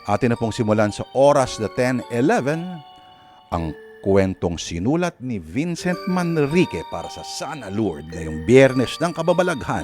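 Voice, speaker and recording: A man hosting a radio drama program, introducing it in a dramatic style. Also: mid-range voice.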